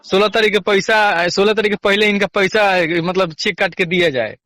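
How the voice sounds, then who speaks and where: A man with a Bihari accent chatting casually on the phone as a caller, deferential and quick to agree. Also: high-pitched, pushed and bright, no room sound. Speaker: caller with a grievance.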